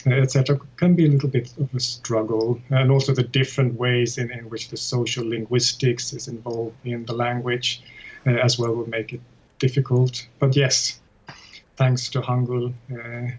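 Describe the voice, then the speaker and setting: A man speaking as an academic guest in a broadcast interview, measured and thoughtful, with frequent fillers. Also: medium pitch, little pitch movement, dull audio. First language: non-Korean. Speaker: guest, Korean language academic.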